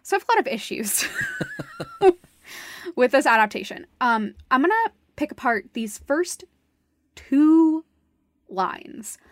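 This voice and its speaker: A woman's voice: high-pitched, very animated, slow, long pauses, no echo. A woman co-hosting a casual, opinionated talk show, speaking in an emphatic, analytical way and swearing casually. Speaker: podcast co-host discussing a stage musical. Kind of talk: casual, opinionated critical discussion.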